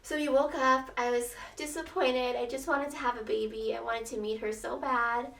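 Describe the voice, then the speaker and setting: Young woman, casual vlog storyteller, faint rasp, chatty and expressive, roomy echoing sound with a distant microphone. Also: on the high side, moderate pace. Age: young adult. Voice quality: slightly raspy. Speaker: vlogger telling her birth story. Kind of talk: casual personal storytelling to camera.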